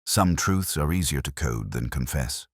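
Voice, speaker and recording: A man playing a melancholy, reflective police inspector, narrating an audio drama in slow, measured, solemn literary prose with deliberate pauses. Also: rumbling low, expressive, husky, dry recording, studio quality, heavily acted. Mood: somber, brooding. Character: melancholy, reflective male police detective inspector telling his story.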